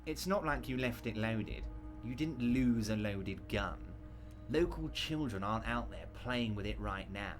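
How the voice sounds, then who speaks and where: An actor playing a large, scheming union boss who talks in a falsely soothing, patronizing way. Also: male voice, on the low side, lively intonation, a little slow, faint music behind. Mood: smug reassurance.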